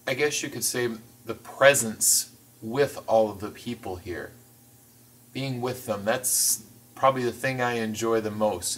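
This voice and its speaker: A man voicing a signed interview as interpreter, phrasing things in a measured, careful way with the occasional restart. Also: medium pitch, fairly bright tone, recorded from a distance, rough recording. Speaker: sign language voice interpreter.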